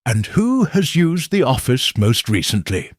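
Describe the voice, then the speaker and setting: A man voicing a shrewd, calm Victorian detective who reasons in a measured, precise way, in a husky voice. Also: mid-range voice, no echo, studio quality.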